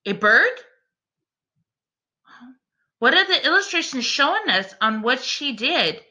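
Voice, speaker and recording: A woman teacher reading a picture book aloud to children expressively and deliberately, pausing to put questions directly to her young listeners. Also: sits high, very animated, sharp tone.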